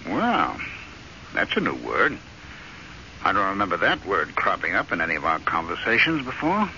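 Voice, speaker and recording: Tense, exasperated American husband. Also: male voice, low-pitched, wildly swooping pitch, normal speed, sharp tone, heavily acted. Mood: sarcastic. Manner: emphatic, sardonic, exasperated. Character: frustrated, restless husband, sarcastic and easily riled. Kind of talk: old-time radio drama acting. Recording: muffled, noisy old radio recording.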